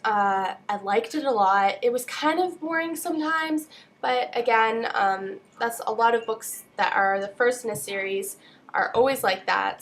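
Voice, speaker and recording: Nasal, slightly high-pitched young woman. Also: a bit bright. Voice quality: nasal, congested. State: stuffed up with a cold. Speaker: book vlogger. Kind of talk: casual chatty book review.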